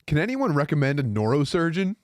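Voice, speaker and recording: A man's voice: fairly high pitch, big pitch swings, fairly quick, dry recording, studio quality. A man joking in profane slang and reading old posts aloud in a casual way. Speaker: narrator in an audiobook session.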